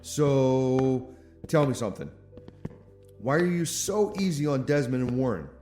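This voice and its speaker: A man's voice: mid-range voice, expressive, a little slow, warm tone, lightly acted. A man acting in an audio drama as an anxious townsman who presses with skeptical questions and is easily rattled. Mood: skeptical, probing. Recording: echoey tunnel-like reverb with music.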